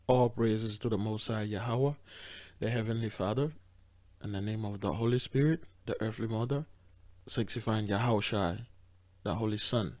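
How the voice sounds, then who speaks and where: A man with a raspy voice hosting a religious video, preaching with emphatic stress on key words. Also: lowish voice, steady tone, moderate pace, darkish tone, no room sound. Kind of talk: preaching commentary. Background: faint music.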